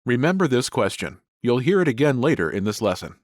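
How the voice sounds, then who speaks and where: An expressive male lesson narrator. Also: medium pitch, a little fast, dry recording, studio quality. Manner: clear, explanatory teacher delivery. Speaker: language course narrator. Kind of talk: scripted grammar lesson narration.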